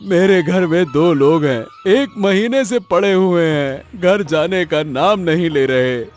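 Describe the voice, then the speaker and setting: A man plays an aggrieved cartoon farmer full of self-pity. His voice is high for a man and very unhurried, dragging out each plaintive complaint over background music. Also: animated. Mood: aggrieved resolve. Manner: plaintive, drawn-out lamenting. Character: wailing, wronged papaya farmer, aggrieved and self-pitying. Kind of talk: Hindi cartoon story voice acting.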